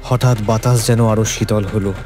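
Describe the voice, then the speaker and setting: Low-voiced man narrating horror over music. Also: fairly even intonation, moderate pace. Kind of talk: scripted dramatic horror story narration. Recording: music under the voice. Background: background music.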